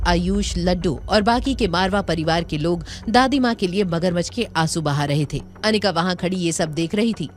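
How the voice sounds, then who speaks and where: A woman's voice: medium pitch, background noise. A woman reading scripted narration for an audio drama.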